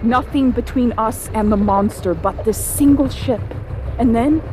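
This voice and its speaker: An actress playing a woman survivor of an invasion, narrating poetically and deliberately in audio theatre. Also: fairly high pitch, noisy background, lightly acted. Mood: breathless awe. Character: Izzy, woman survivor fleeing by boat, awed narrator. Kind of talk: dramatic first-person audio theatre narration.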